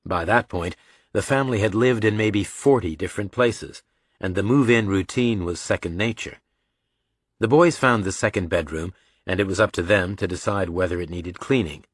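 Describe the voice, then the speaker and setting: A man's voice: low voice, normal speed, breathy edge, dry recording. A man narrating a thriller audiobook in a scripted, dramatic storytelling style.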